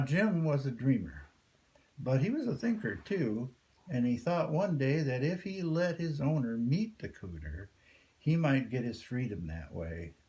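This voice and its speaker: A man's voice: medium pitch, warm tone. An older man reading a folktale aloud as a storyteller.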